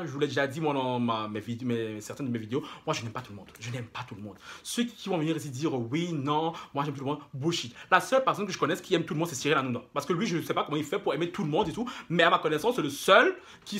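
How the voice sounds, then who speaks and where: A male online commentator speaks at a rapid clip in a fairly high voice. He talks informally and directly to his viewers, with an indignant, mocking edge, and hammers his points home by repeating phrases. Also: a bit bright. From Africa. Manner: emphatic, repeats phrases for emphasis. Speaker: YouTube commentator. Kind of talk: opinionated casual monologue to viewers.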